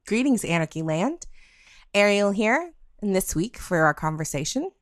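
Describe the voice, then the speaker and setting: A woman hosting a podcast in casual chat, halting and full of fillers. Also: mid-range voice, big pitch swings, no echo, clean studio sound. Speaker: podcast host.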